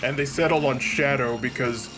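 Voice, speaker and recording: Casting: male co-commentator, average pitch, casual chat with game music underneath. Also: normal speed, poor audio. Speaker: let's play co-commentator.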